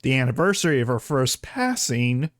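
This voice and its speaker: A man chatting casually as a game streamer, informal and rambling, often halting mid-thought. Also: medium pitch, sing-song, no room sound, studio quality. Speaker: video game livestreamer. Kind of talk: casual livestream chat.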